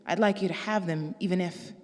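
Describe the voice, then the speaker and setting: A woman reading a novel aloud, voicing a shy, gentle husband who speaks softly and hesitantly. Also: on the low side, fairly quick, close to an everyday voice. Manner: soft, hesitant, trailing off. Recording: long reverb.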